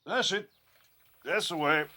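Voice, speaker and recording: An actor playing an encouraging gardener in an audio play, giving unhurried instructions in short phrases. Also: male voice, a little high, bright, forceful tone. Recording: faint noise, birdsong outdoors.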